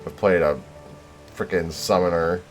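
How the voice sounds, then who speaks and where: Low-voiced man rambling on a livestream. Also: steady tone, measured pace, soft background music. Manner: rambling, self-deprecating asides. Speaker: game streamer. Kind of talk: casual livestream commentary on a video game.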